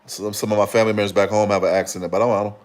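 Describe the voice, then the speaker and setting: A man from Chicago hosting a casual livestream, answering comments in a relaxed, rambling way with frequent fillers and restarts. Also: lowish voice, racing. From Chicago, Illinois. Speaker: livestream host.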